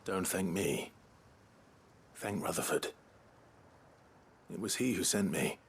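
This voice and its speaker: A young British nobleman and swordsman from a fantasy game. His voice sits very deep, with faint noise behind it. He is stoic and reserved, speaking in short, grave, earnest phrases. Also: slow, vocal fry, heavily acted. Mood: firm concern. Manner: low-key, terse, grave. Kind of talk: video game voice acting.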